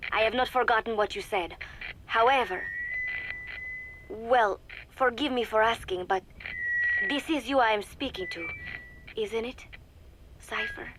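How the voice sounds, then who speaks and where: A woman voicing a composed young female spy in a video game audio log, speaking formally with measured, deliberate diction. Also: mid-range voice, close to an everyday voice. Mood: guarded, uneasy. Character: composed young female spy secretly reporting to a shadowy master. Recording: noisy cassette tape recording with ambience.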